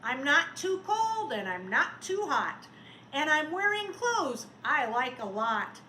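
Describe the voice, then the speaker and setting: Casting: a woman as an exclamatory, shivery snowman for a children's storybook, childlike, swooping high, in a roomy space. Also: sing-song, slow, bright, forceful tone, full character voice. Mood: delighted. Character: childlike, shivery, cheerful snowman who keeps melting. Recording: roomy echo, faint background noise.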